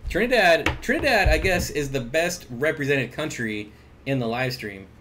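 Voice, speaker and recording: A man's voice: a little high, animated, normal speed, a bit bright, a little hiss or hum. A man hosting an aquarium livestream, chatting casually and reading viewer comments aloud with jokey asides. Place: home room with aquariums. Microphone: desk mic at computer.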